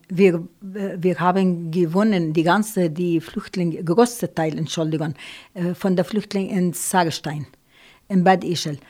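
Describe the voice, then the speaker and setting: A woman with an Arabic accent speaking German as a second language in a radio studio interview, halting, with grammar slips and frequent fillers. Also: lowish voice, darkish tone. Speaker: studio guest, volunteer refugee helper.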